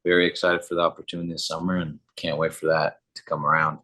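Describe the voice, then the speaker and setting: A young man in his twenties from Germany, answering interview questions in a relaxed, upbeat way with frequent filler words. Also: extremely low pitch, quick pace. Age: 20s. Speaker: guest, football player.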